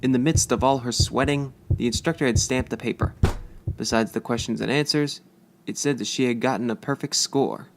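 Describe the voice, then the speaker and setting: A woman's voice: a bass voice, normal speed, noisy background. A woman reading scripted fan fiction as a storybook narrator. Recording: music behind the voice.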